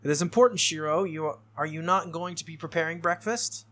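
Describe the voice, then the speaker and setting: A man's voice: fairly high pitch, fairly quick, pushed and bright, voice pushed up. A man voicing a stern, dutiful young female knight, speaking politely and formally while reading a visual novel aloud. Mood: formal, firm.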